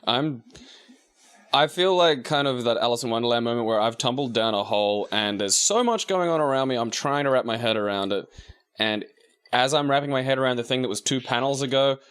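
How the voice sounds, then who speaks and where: A man with a medium-pitched voice co-hosts a casual game commentary. He talks in a wry, crude, self-deprecating way, joking as he reacts to the game. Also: fairly quick, fairly bright tone, clear, resonant tone. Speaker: co-host of a video game commentary.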